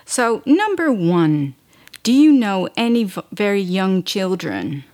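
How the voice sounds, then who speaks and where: A woman's voice: big pitch swings, slow, a little mellow. A woman interviewer reading out numbered questions and answering with short backchannels. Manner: brief backchannels, rises in pitch on each question number.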